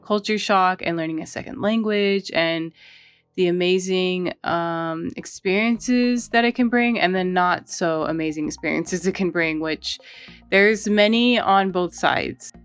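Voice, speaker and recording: A woman chatting casually while she draws, relaxed and rambling, often saying "like" and "you know". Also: fairly low pitch, measured pace, clear, resonant tone, no room sound, faint music behind. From United States. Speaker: art channel host. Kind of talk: casual chat while drawing.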